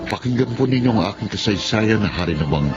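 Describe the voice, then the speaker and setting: A man's voice: on the low side, expressive, fast talker, lightly acted. An actor playing a tender, remorseful middle-aged father freed from prison, in a Filipino radio drama, speaking earnestly and emotively. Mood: solemn. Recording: muffled radio drama with music behind.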